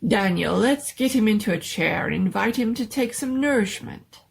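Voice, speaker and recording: A woman voicing an excitable, doting little male mad scientist in a storybook reading, fussy and full of proud exclamations. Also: mid-range voice, moderate pace, gravelly, husky, voice pushed up. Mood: proud, gushing. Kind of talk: dramatic reading of a horror-comedy story.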